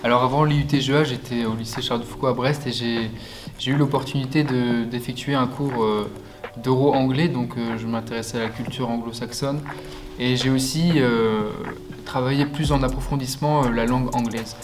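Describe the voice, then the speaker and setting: Brief: young man from France, student project lead, halting delivery, clear music bed underneath. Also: medium pitch, steady tone. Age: young adult. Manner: hesitant, many fillers and restarts. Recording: music playing behind. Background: background music.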